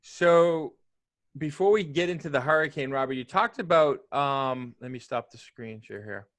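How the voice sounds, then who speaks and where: A man's voice: medium pitch, normal speed. Man asking easygoing questions. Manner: relaxed conversational questioning. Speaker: co-host. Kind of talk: video call interview. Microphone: video call microphone.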